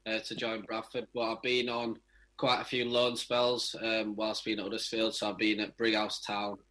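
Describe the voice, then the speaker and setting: A man's voice: on the low side, monotone, moderate pace, bright, forceful tone, low-quality recording. A young man, a footballer, giving casual answers in a radio interview. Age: young adult.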